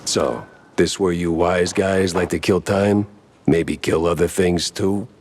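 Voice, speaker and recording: A man with an American accent voicing a world-weary, stubborn mouse private eye in a cartoon noir game, gruff and sardonic. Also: steady tone, slow, a little mellow, slight background noise. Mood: menacing. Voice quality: deep, husky.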